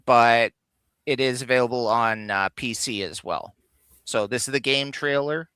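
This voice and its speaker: A man with a mid-pitched voice, crisp and bright, talks casually as a stream co-host. He explains things haltingly, correcting himself as he goes. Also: no echo. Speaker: co-host of a video game countdown livestream. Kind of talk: casual unscripted chat.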